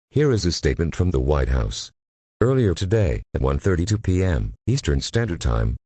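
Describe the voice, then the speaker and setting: A man with an extremely low, slightly rough voice delivers a scripted emergency alert. He is solemn and formal, measured and impersonal throughout. The sound is dry and close. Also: darkish tone, no room sound.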